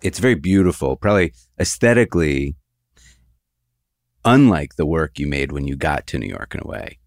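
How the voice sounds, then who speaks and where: A man's voice: rumbling low, very animated, no echo, clean studio sound. A man interviewing an artist, asking careful questions and framing them at length. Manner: measured, attentive, frames questions at length.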